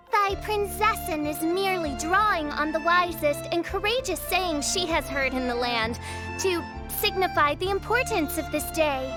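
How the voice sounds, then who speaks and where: A woman voicing a haughty, theatrical teenage princess who speaks in pompous archaic flourishes in a game. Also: very high voice. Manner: pompous, archaic flowery speech. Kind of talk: dramatic game cutscene voice acting.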